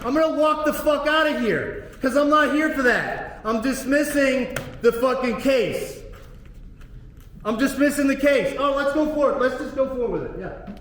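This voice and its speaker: High-voiced furious man in an echoing courtroom. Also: moderate pace, a little hiss or hum. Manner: angry, profane, shouting. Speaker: defendant. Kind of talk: courtroom outburst. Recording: echoey courtroom with long reverb.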